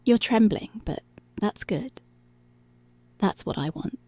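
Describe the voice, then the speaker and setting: A woman playing a dominant, seductive policewoman in an intimate ASMR roleplay, giving hushed, deliberate commands that are possessive yet tender, close to the microphone. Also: mid-range voice, lively intonation, breathy edge, no echo. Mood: firm, possessive. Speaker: ASMR voice actor. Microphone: close, dry microphone.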